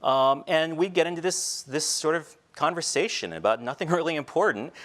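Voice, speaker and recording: A middle-aged teacher telling personal stories in a talk on a large indoor stage, shifting between quiet reflective moments and light humor. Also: male voice, a little high. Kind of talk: storytelling keynote talk. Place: large stage before a seated audience, indoors. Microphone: headset mic.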